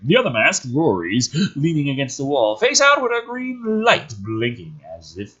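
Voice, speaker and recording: A man with a slightly rough voice reading a script aloud dramatically, dropping in sardonic, profane asides. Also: mid-range voice, huge pitch swings, normal speed, sharp tone, highs cut off. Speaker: host reading a film script aloud with commentary.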